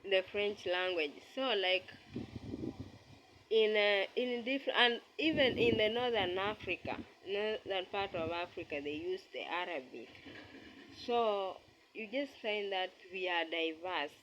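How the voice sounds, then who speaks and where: A speaker from Kenya with a Kenyan accent, giving a casual, halting talk to camera as an aspiring travel vlogger and often searching for words. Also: mid-range voice, fairly bright tone, slight background noise, rough recording.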